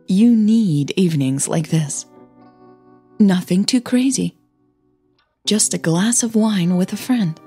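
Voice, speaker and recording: Relaxed, coaxing, confident woman singer, as a narrator plays her. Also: mid-range voice, takes it slowly, pauses often, husky, soft background music. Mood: friendly, reassuring. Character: confident, elegant female singer, friendly and coaxing. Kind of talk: audio drama storytelling.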